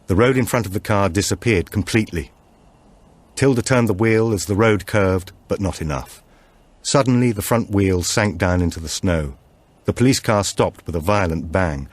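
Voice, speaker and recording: A man reading a supernatural thriller as an audiobook narrator, in a measured, deliberate storytelling manner. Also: lowish voice, no echo.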